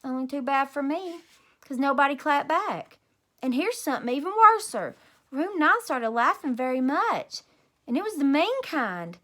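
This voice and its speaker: Female teacher, storybook read-aloud: high, bright, emphatic delivery. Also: normal speed. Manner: animated, emphatic. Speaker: teacher reading aloud to children. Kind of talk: expressive children's storybook reading.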